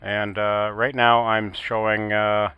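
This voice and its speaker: A man working as an amateur radio operator, talking in a halting, matter-of-fact way in a casual technical on-air chat. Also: on the low side, level tone, clean tone, no echo, highs cut off.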